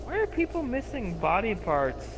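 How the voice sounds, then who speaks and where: A man with a slight lisp talks over his game in a high, bouncing voice that leaps around as he yelps and curses. Game noise runs clearly under him on the voice call. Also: normal speed. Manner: excitable, yelping and cursing. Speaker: gamer. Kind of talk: excited gameplay chat.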